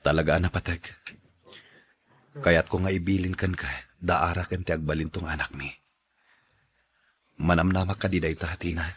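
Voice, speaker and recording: A man with an Ilocano accent playing a dejected, dutiful son in an audio drama, in a husky voice. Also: low voice, fairly even intonation, moderate pace, pauses often. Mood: earnest. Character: dejected dutiful son forced into an unwanted marriage. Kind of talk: Ilocano radio drama acting.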